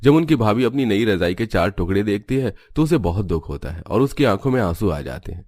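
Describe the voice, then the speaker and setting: Male storyteller for animated family tale, lowish voice, scripted narration. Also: brisk, no room sound, studio quality.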